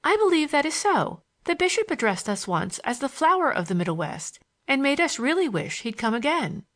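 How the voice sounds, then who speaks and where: A woman's voice: sits high, big pitch swings, normal speed, fairly bright tone, pitched above the performer's own voice. A woman voicing a playful, cheeky teenage schoolgirl with romantic notions, chattering in a teasing, girlish way for an audiobook. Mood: chatty.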